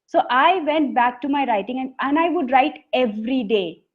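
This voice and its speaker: A woman's voice: high-pitched, animated, moderate pace. A woman poet with an Indian English accent, telling her story in an earnest, reflective interview. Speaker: poet and author guest. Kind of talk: conversational interview answers. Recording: dull lo-fi audio.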